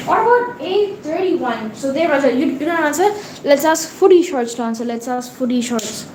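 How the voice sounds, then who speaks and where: A woman with an Indian accent hosting a casual livestream, reading chat and tutoring math in a slow, halting way with many fillers. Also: sits high, expressive, far from the mic. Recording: very echoey room, voice off-mic, noisy background.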